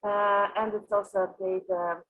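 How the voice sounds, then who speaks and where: A woman from Russia with a Russian accent, answering interview questions as a company executive, haltingly and with frequent filled pauses. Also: mid-range voice, level tone, phone-quality sound, faint music behind. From Moscow, Russia. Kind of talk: interview answers on an online panel.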